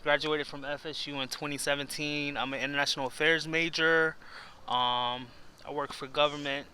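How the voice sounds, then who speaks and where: A man's voice: fairly high pitch, little pitch movement, normal speed, sharp tone. A man in his twenties from Miami, answering casually in a street interview. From Miami, United States. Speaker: interviewee, government worker. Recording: faint outdoor traffic noise.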